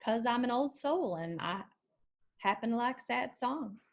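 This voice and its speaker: A woman's voice: fairly high pitch, expressive, unhurried, gravelly. A woman playing a young, plainspoken, probing country girl with an old soul in a screenplay table read. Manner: plainspoken, folksy, persistent.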